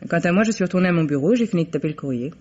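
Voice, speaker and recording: Poised female private secretary: crisp, guarded, formal, old radio drama on muffled tape. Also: fairly low pitch, lively intonation, rapid-fire, a little mellow. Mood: composed, curt. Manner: crisp, curt, formal replies. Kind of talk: vintage French radio mystery acting. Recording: dull, vintage radio recording.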